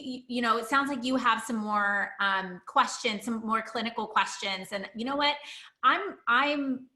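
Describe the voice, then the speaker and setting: A woman's voice: a little high, pushed and bright. A woman hosting a business interview, talking informally and thinking aloud, often checking that she is understood. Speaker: host, practice consultant. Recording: roomy, echoing voice pickup.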